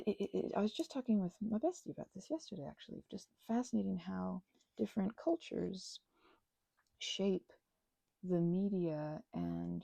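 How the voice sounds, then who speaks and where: A woman rambling casually and unscripted while crafting, halting and wandering off on tangents, with excitable, gushing exclamations. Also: medium pitch, gravelly, husky, dry recording. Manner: halting, digressive, with gasps and exclamations. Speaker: craft and ASMR channel creator.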